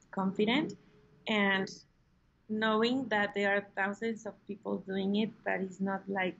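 Gravelly woman with a Spanish accent. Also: mid-range voice. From Mexico. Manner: halting, many "like" fillers. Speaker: guest. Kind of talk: casual interview answers. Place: room at home. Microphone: video call. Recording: dull lo-fi video call.

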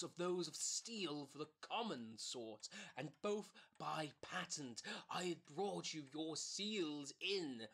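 An amateur actor playing an indignant, wheedling schemer in an early modern comedy audio drama, talking fast and stammering persuasively. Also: mid-range voice, a bit bright. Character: wheedling, indignant schemer pitching absurd projects.

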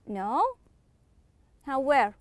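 Woman, english teacher, slow encouraging delivery, smooth voice, dry close sound. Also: high-pitched, dry recording. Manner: slow, encouraging, repeats words for pupils. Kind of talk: online classroom lesson.

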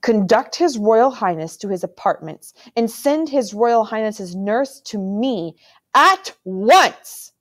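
A harsh, commanding storybook king who booms with sudden force and lingers on his words, as a woman reader puts him on. He is cold and menacing toward a child. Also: big pitch swings, slow, hardly any pauses, mellow. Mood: stern. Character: stern cold male king, menacing uncle.

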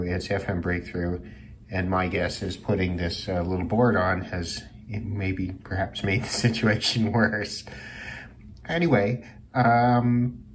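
A man's voice: on the low side, a little hiss or hum. A man giving a casual hands-on demonstration as a hobbyist host, thinking aloud in a tentative way.

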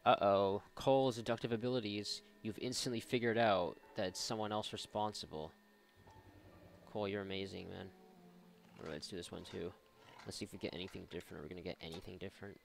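A male gamer commentates casually over his play, sarcastic and prone to swearing. His voice is on the low side with noticeable fry, and faint game noise sits behind it. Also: fairly even intonation, fast talker. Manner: sarcastic, sweary, mock exasperated. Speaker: video game let's-player.

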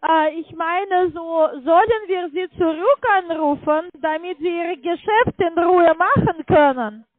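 High-pitched woman agent on a phone line. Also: unhurried, no echo. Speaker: call centre agent doing customer quality calls. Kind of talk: scripted customer survey on a phone call. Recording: tinny narrowband phone line.

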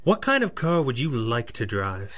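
An actor playing a wealthy young 1930s gentleman in a radio drama, formal and polite with a dry wit. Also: mid-range voice, very animated, moderate pace. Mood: puzzled. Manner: formal, courteous, dryly witty.

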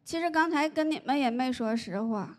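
A woman, doing a stand-up comedy set into a handheld mic on a large stage, telling stories deadpan and landing punchlines. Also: sits high, fairly quick. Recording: live audience laughter noise. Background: audience laughter.

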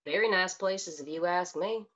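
A woman playing a cold, haughty frontier townswoman in a radio western, pronouncing her views in a measured way. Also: lowish voice, lo-fi sound, a little hiss or hum, natural voice. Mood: smug. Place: indoors at home, video call.

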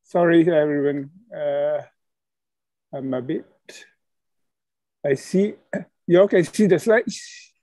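A middle-aged man from Malaysia giving an online conference talk over a video call, halting and hesitant, with many fillers and apologies. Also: on the high side, dark tone, no room sound. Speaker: conference speaker. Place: indoors at a computer.

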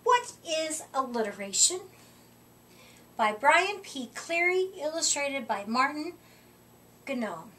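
A middle-aged woman reading a rhyming picture book aloud to children, with deliberate, expressive emphasis, recorded a short way from the mic. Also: sits high, slight background noise. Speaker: children's storytime reader. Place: indoor room, seated before a curtain. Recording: voice distant from the microphone.

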